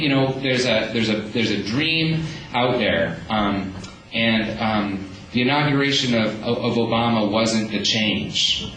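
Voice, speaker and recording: A man's voice: lowish voice, a bit bright, a little hiss or hum. A man giving an earnest speech as a community organizer, with many restarts. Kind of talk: speech at a rally. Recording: echoey room, speaker far from mic.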